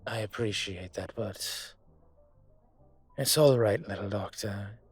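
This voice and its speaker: Man acting a slow, husky, suave mafia boss. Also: on the low side, soft background music. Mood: gentle, earnest. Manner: smooth-talking, deliberate, teasing. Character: suave teasing male dragon mafia boss on a date. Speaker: voice actor in audio roleplay. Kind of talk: intimate scripted roleplay audio acting.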